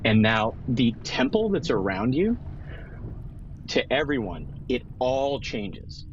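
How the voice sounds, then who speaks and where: A man narrating a tabletop roleplaying game as game master, descriptive and pausing for dramatic effect. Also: medium pitch, big pitch swings, lo-fi sound, noisy background. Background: music bed.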